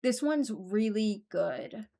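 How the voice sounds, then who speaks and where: A woman's voice: medium pitch, animated, takes it slowly, vocal fry, warm tone. A young woman hosting a video with casual, informal commentary on game voice lines, rambling and often interrupting herself. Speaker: streamer and video host.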